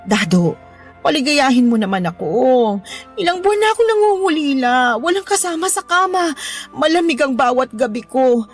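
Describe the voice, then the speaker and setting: Lonely, seductive widow, swooping voice. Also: female voice, sits high, expressive, moderate pace. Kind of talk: Tagalog radio drama acting. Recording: background music under the voice.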